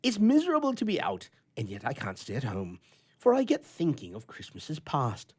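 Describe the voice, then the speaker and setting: A man's voice: medium pitch, wildly swooping pitch, moderate pace, breathy edge. A man voicing an irritable, lonely bachelor in a storybook reading, with emphatic, indignant, old-fashioned oratory. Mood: indignant misery.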